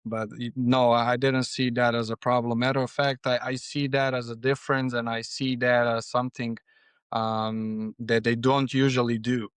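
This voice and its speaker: A man's voice: mid-range voice, flat delivery, moderate pace, clear, resonant tone, no echo. A man from Serbia with a Serbian accent, speaking English as a second language, answering conversationally as a podcast guest. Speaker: podcast guest, freight agent.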